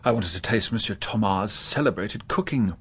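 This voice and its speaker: An actor playing an insolent young English suspect in a radio drama, flippant and evasive. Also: male voice, lowish voice, expressive, moderate pace, slightly rough, full character voice. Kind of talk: naturalistic radio drama acting.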